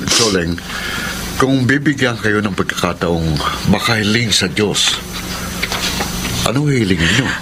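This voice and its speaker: A man's voice: fairly low pitch, animated, a little slow, long pauses. An actor playing a sympathetic, polite man asking questions in a Filipino radio drama. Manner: polite, inquiring. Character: sympathetic, polite young man interviewing the poor. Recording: noisy radio drama with ambience.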